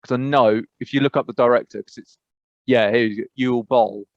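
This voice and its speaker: An English man chatting on a podcast, rambling through his thoughts and stressing words by repeating them. His voice sits at an ordinary pitch. The recording is dry and a little muffled. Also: fairly quick, no echo. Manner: rambling, animated, emphatic repetition. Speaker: podcast co-host. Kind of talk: casual chat.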